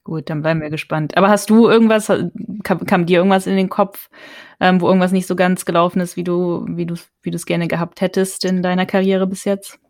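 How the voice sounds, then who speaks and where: A woman's voice: fairly low pitch, level tone, fairly quick, darkish tone, dry recording, clean studio sound. A woman hosting a podcast, asking interview questions in a friendly, chatty way. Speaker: podcast host.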